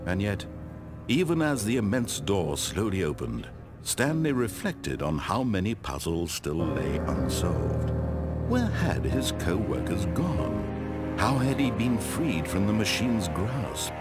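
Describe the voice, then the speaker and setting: Deep, melodramatic game narrator. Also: male voice, very animated, slow, over loud music. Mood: reflective. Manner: theatrical, dramatic, carefully enunciated. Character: omniscient, melodramatic male storyteller narrating a hero's fate. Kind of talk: scripted storybook narration in a video game.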